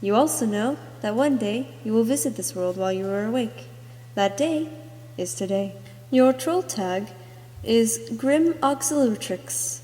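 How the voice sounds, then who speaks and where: Mellow, fairly high woman narrator, echoing. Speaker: amateur voice actor. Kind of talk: scripted character narration for an amateur voice reel. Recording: echoey, long room reverb.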